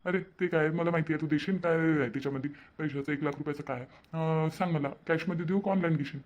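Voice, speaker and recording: A man's voice: fairly high pitch, steady tone, fast talker, muffled recording, close to an everyday voice. A man voicing an easygoing, moody young brother-in-law in a cartoon, casual and repetitive, in Varhadi-accented Marathi. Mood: obliging. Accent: Varhadi Marathi, Vidarbha. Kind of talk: amateur cartoon voice-over.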